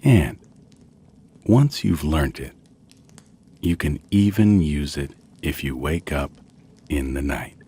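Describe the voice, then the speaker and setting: A man gently narrating a guided sleep meditation in a soothing, measured way with long pauses. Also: rumbling low, expressive, slightly rough.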